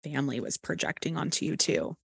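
A woman's voice: low voice, a little fast, vocal fry, husky, dry recording, clean studio sound. A woman with a Texas accent hosting an interview, talking candidly and encouragingly, with the odd casual swear. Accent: Texan. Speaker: podcast host.

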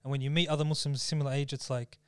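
A young Australian man chatting casually on a podcast, animated and peppering his talk with bro and man. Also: medium pitch, rapid-fire, clear, resonant tone, no room sound.

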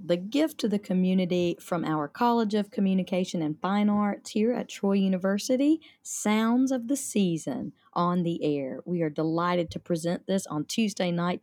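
Dark-voiced enthusiastic woman. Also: medium pitch, no echo. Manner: enthusiastic, promotional. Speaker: theater director and playwright. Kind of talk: radio interview answers.

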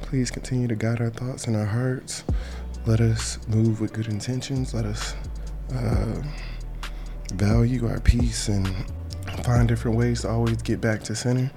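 A man's voice: mid-range voice, fairly even intonation, measured pace. A man guiding a meditation with informal prayer in a casual, colloquial, encouraging way. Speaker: meditation guide. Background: music behind the voice.

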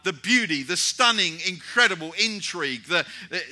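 A man's voice: high voice, lively intonation, moderate pace, bright, forceful tone. A man from Britain preaching a sermon in a conversational way, with many restarts and repeated rhetorical questions.

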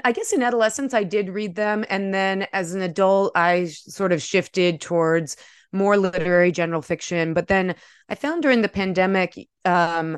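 An American woman, an author speaking in an articulate, measured way with a smooth, clear voice. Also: medium pitch, dry recording, studio quality. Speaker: debut author, guest. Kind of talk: conversational interview talk and prose reading from own novel.

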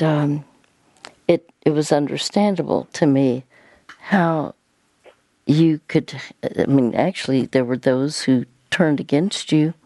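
Older woman, radio counseling host; very slow, halting delivery, dry studio sound. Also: sits low, warm tone, no room sound. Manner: slow, halting, many pauses and fillers. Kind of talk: gentle counseling conversation.

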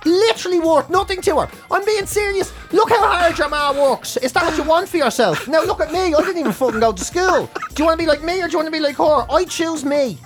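A man's voice: very high-pitched, fast talker, few pauses, fairly bright tone, soft background music. An Irish man with a Dublin accent co-hosting a comedic chat show, ranting bluntly and swearing in a mock-serious way. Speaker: podcast and radio co-host.

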